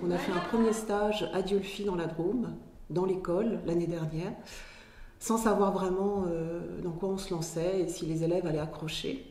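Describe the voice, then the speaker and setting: A woman on a school's staff explaining their project in a measured interview. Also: fairly low pitch, steady tone, dark tone, echoey room, slight background noise. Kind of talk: interview narration.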